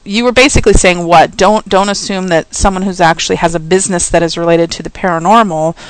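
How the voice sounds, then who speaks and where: A woman's voice: lowish voice, fairly even intonation, fast talker, clear, resonant tone, poor audio. A woman co-hosting a casual chat show, often hesitating and restarting her sentences. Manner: hesitant, many restarts and ums. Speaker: podcast co-host.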